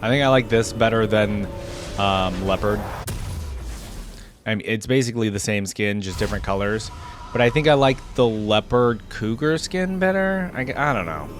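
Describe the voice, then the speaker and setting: A man's voice: lowish voice, lively intonation, moderate pace. A man hosting a casual reaction video, thinking aloud in a rambling way. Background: game music.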